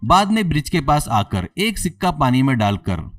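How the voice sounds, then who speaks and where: A man's voice: fairly high pitch, very animated, normal speed, fairly bright tone, no room sound, recorded from a distance. A man narrating a scripted moral story as a storyteller.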